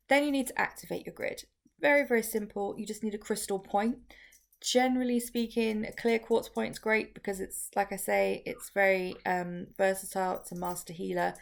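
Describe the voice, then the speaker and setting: Chatty woman with a gravelly voice. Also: mid-range voice. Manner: chatty, filler-heavy explaining with frequent restarts. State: croaky voice. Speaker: crystal workshop teacher. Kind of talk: casual how-to video.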